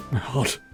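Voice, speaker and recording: Man, livestream gamer, casual rambling, easy laughs, reads game lines aloud, soft music underneath. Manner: chatty, laughs often, trails off in restarts; reads on-screen dialogue aloud. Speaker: streamer. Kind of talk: live gameplay commentary.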